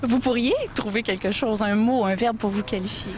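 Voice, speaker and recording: A woman's voice: fairly high pitch, fairly even intonation, moderate pace, a little mellow, slight background noise. A woman hosting a radio interview, admiring and searching for words. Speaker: radio host.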